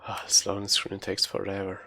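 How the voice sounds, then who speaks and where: A man commentates casually on his own video game speedrun. His low voice stays very flat, with a gravelly creak, and he mutters along in a low-key way. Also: a little fast, faint music behind. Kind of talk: casual live gameplay commentary.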